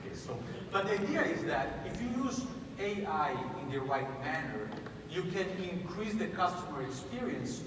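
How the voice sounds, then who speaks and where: A man giving a conference keynote, talking in a conversational, energetic pitch with frequent restarts, in a large conference room. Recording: echoey hall from far off, noisy.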